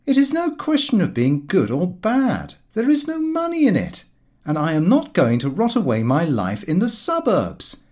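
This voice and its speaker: Storybook role, acted by a male reader: brash, breezy young son, raised pitch, very animated, cheekily slangy. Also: normal speed. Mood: defiant, cocky. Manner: loud, slangy, flippant.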